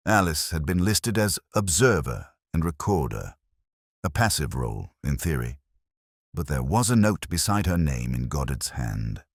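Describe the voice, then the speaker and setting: Very deep, brooding detective played by a man. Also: sing-song, breathy edge, no room sound, clean studio sound, exaggerated voice. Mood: brooding, solemn. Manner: solemn, literary, deliberate phrasing. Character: brooding, measured male detective inspector narrating his case. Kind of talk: first-person scripted mystery narration, audiobook-like.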